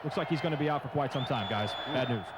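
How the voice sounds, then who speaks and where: Male sports reporter amid loud crowd noise. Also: medium pitch, fast talker. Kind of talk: live injury update.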